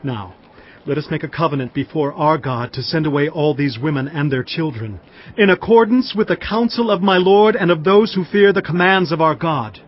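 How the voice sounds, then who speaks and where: An actor playing a contrite Israelite in a scripture drama, speaking in an earnest, exhorting manner. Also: male voice, on the high side, moderate pace, lightly acted. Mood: contrite, resolute. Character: contrite, earnest male Israelite urging a covenant. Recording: slightly dull, muffled audio.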